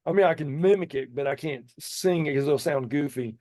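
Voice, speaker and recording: A man's voice: fairly high pitch, brisk, warm tone, no room sound, studio quality. A man in his fifties, a singer and podcast guest, chatting casually and enthusiastically with lots of restarts. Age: 50s. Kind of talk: casual chat.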